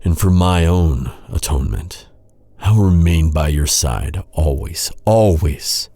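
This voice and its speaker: A man playing a guilt-ridden, devoted knight in a dark fantasy audio roleplay, speaking in an earnest, dramatic, halting way. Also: a bass voice, no room sound, exaggerated voice. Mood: solemn, devoted. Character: brooding, guilt-ridden male knight, bitter yet tenderly devoted.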